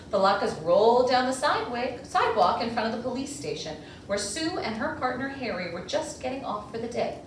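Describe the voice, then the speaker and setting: Female children's storyteller, dramatic read-aloud, high lively voice, heard from a distance in a roomy space. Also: moderate pace. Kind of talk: expressive picture-book read-aloud. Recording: echoey room, distant mic, faint noise.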